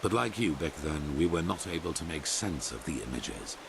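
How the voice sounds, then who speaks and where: Super deep old fantasy game grandmaster, solemn and formal, voice swings a lot, noisy ambience behind. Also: male voice, expressive, creaky, heavily acted. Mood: solemn, haunted. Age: older. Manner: solemn, formal, measured storytelling. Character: grave old grandmaster of a holy order. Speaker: video game character. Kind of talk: dramatic fantasy game dialogue.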